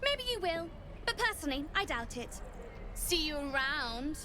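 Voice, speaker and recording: A woman's voice: high voice, very animated, normal speed, noisy background. A woman voicing a cheeky, defiant young child thief in a video game, with an insolent manner. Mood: smug. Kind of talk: video game character acting.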